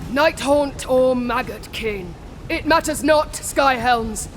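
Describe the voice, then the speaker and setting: An actress playing a proud, righteous holy warrior in a fantasy game, solemn, commanding and declamatory. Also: female voice, sits high, slow, in a large hall, a little hiss or hum. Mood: grave resolve. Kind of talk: dramatic fantasy game acting.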